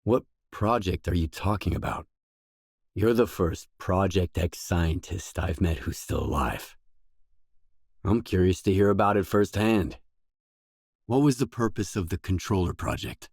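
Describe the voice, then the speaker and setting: A man's voice: low-pitched, unhurried, a little mellow, husky, dry recording, clean studio sound, full character voice. An actor playing a guarded, blunt male stalker, the protagonist of a post-apocalyptic video game, speaking in terse scripted lines. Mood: matter-of-fact, probing. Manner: terse, blunt, probing questions.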